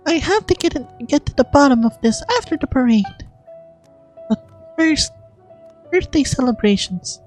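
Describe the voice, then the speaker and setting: A performer voicing a sweet, anxious young game character in an earnest, gentle way. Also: sits high, lively intonation, moderate pace, pauses often, soft background music, voice pushed up. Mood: puzzled, hopeful.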